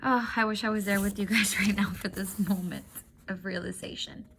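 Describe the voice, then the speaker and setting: Soft-spoken woman reading a story aloud. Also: mid-range voice, fairly even intonation, normal speed, creaky, very noisy. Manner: soft and often whispered, with emotional asides to listeners. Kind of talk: reading a novel aloud to students.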